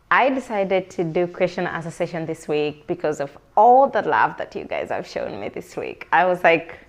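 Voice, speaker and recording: A woman from Uganda, chatting casually to camera as she answers viewer questions, rambling with many fillers. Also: lowish voice. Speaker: vlogger.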